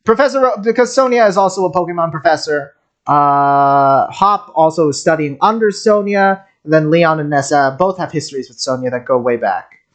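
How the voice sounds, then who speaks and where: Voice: mid-range voice, normal speed. A streamer giving casual game reaction commentary in a rambling way, often stammering and repeating words. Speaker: streamer, game content creator.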